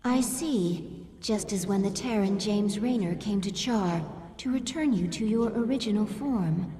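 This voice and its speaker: An actress playing a calm, deferential female alien servant in a video game, speaking formally and with measured care. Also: medium pitch, lightly acted. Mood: questioning. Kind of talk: video game character acting. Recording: long hall reverb, somewhat distant.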